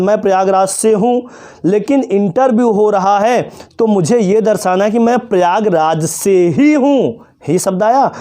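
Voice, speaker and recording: A male teacher giving a classroom lesson to children, asking questions and answering them himself, and slowly spelling out example phrases. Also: high voice, warm tone. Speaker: English teacher.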